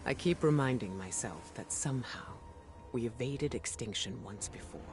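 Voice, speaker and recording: An actor playing an earnest, weary but resolute military commander in a video game, measured and authoritative. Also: male voice, medium pitch, lively intonation, close to an everyday voice. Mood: grave, resolute. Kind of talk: video game cinematic acting. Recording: music behind the voice.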